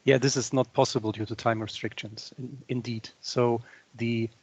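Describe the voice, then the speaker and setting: A man's voice: medium pitch, little pitch movement, moderate pace, darkish tone, slight background noise. A man on a conference call, giving a matter-of-fact technical answer. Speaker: technical expert.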